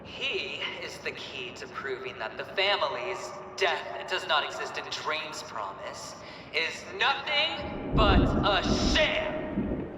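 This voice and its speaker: A man's voice: a little high, big pitch swings, takes it slowly. Theatrical, taunting villain showman, swooping voice. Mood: taunting menace. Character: flamboyant, menacing young male gambler playing showman villain. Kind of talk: video game voice acting. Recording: echoey hall, distant, noisy game backdrop.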